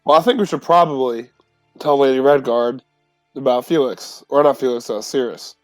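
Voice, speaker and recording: A man's voice: medium pitch, normal speed, no echo, soft background music, rough recording. A man playing in a tabletop game, casually improvising his character's words and actions.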